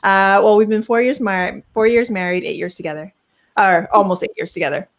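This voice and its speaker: A woman in her thirties co-hosts a video chat from home. She is excitable and chatty, and her voice sits in a middle range for a woman. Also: medium pitch, brisk. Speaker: co-host. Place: home, indoors, on a video call.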